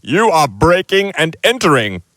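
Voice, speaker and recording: A man voicing a pompous, frightened middle-aged man who shouts stiffly and indignantly. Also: a little high, big pitch swings, unhurried, no echo, pitched above the performer's own voice, heavily acted. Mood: blustering.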